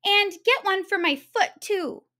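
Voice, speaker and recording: A woman's voice: very high voice, measured pace, pushed and bright, pitched above the performer's own voice, exaggerated voice. A woman voicing a bossy, self-centered big little girl in a storybook, with a whiny, demanding, exclamatory manner.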